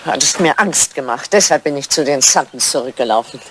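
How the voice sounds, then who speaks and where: A woman's voice: very deep voice, lively intonation, brisk, fairly bright tone, slight background noise. An older woman acting a poised, evasive widowed English lady in a German radio drama, cool and arch in manner.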